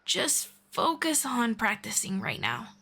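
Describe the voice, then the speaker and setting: A woman voicing a gentle, patient female elf mentor in an ASMR roleplay, speaking softly and slowly and slipping into whispers. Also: on the high side, wildly swooping pitch, husky, heavily acted. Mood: gentle, soothing. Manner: soft-spoken, slow and patient, drifting into whispers. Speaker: voice actor.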